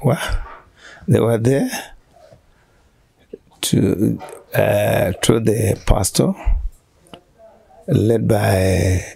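A man in his sixties with a Nigerian accent, giving halting, deliberate answers as a church shepherd in an interview. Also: on the low side, gravelly, mellow, slightly rough. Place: small furnished room indoors, on a sofa. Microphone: handheld interview microphone held by interviewer.

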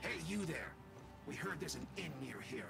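A man playing a blunt, menacing soldier thug in a video game, gravelly and threatening. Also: a little high, lively intonation, moderate pace, a bit bright, full character voice. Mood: demanding, threatening. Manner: threatening, demanding. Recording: game trailer audio with music.

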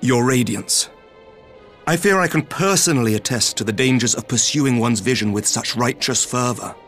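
A young elven knight-statesman voiced by a man speaks in a game cutscene. He is earnest and courteous, with a mid-pitched voice and formal, solemn delivery. Quiet music sits behind him. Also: moderate pace, natural voice.

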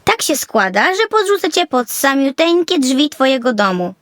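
Woman as a high-voiced friendly little elf boy. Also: big pitch swings, normal speed, fairly bright tone, no room sound, clean studio sound. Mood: cheerful, caring. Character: Fleffy, friendly little male elf balloon pilot, caring. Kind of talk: children's audio fairy tale.

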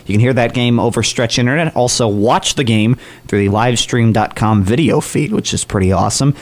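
A man's voice: medium pitch, a little fast. A man hosting a college radio morning show in upbeat, chatty DJ patter with emphatic openings.

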